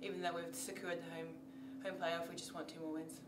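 A young woman basketball player answers post-game questions modestly and plainly. Her voice sits low and stays flat. The mic is some distance away in an echoey room with clear background noise. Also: brisk. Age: young adult. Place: indoor press backdrop.

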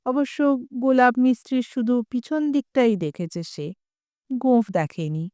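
A woman's voice: on the high side, lively intonation, moderate pace, warm tone, no echo, clean studio sound. A woman telling a humorous short story aloud, giving the quoted lines a little expression.

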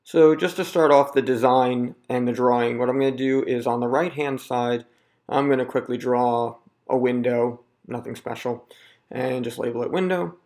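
A man in his thirties talks viewers through a do-it-yourself project in an easygoing, step-by-step way. His mid-pitched voice carries a gravelly rasp. He sounds picked up by a camera mic in a room at home. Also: moderate pace. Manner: relaxed, self-deprecating, explaining step by step. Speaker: DIY video host. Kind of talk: casual how-to narration.

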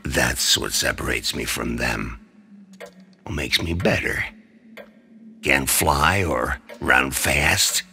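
An actor playing a grim, obsessive, proud male vigilante, gravelly and brooding, in a dark comic-book drama. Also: rumbling low, heavily acted. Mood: bitter, boastful. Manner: brooding, terse, deliberate.

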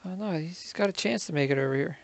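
A man's voice: fairly high pitch, fast talker, gravelly, no echo. A man giving casual gameplay commentary, muttering to himself and counting under his breath. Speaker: gaming video creator.

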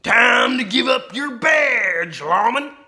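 A man's voice: high voice, big pitch swings, gravelly, voice pushed up, exaggerated voice. A man voicing a fearsome male Wild West outlaw in a storybook reading, snarling and drawn out. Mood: menacing. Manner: slow, shouted, snarling delivery. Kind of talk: storybook read-aloud.

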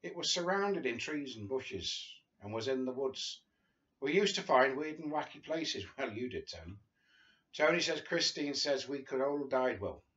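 A man's voice: mid-range voice, animated, moderate pace, fairly bright tone. An English man in his fifties reading a memoir aloud in an informal, amused way. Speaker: guest reader. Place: small living room, seated on a sofa. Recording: dull lo-fi video call audio.

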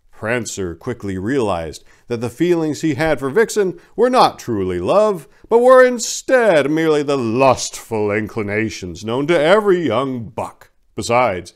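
A man in his forties reading a story in a theatrical, mock-grand, hammy manner. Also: mid-range voice. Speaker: dramatic reader, channel host. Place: small indoor room lined with bookshelves. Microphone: lapel mic.

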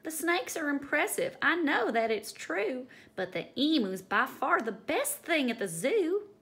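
A woman's voice: sits high, very animated, unhurried, a bit bright, pitched above the performer's own voice, exaggerated voice. A woman voicing an opinionated male zoo visitor in a storybook, declaring his preferences emphatically. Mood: approving.

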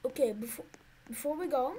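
A woman's voice: high-pitched, a little fast, mellow, slightly rough. A woman giving nervous, jumpy commentary to her viewers while playing a horror game. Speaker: gaming YouTuber.

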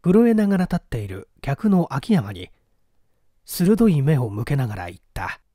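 Mellow, expressive male story narrator. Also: mid-range voice, moderate pace, no echo, clean studio sound. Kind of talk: dramatic scripted story narration.

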